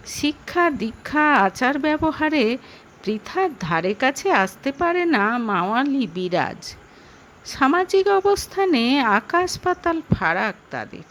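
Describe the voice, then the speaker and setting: A woman narrating a scripted story in a dramatic, expressive manner. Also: high voice, a little hiss or hum. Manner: expressive, acts out dialogue.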